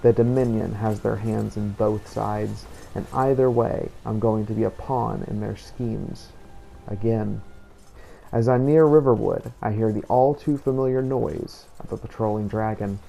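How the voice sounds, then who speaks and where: Low, creaky-voiced male game narrator. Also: fairly even intonation, a little slow, dark tone, slight background noise. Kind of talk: scripted first-person story narration.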